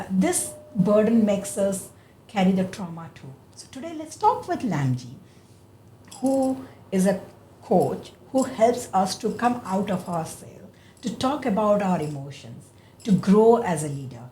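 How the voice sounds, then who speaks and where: A woman's voice: mid-range voice, big pitch swings, measured pace, dark tone, slight background noise. A woman hosting an interview podcast, speaking earnestly and often restarting her phrases. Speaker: podcast host. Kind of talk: interview chat.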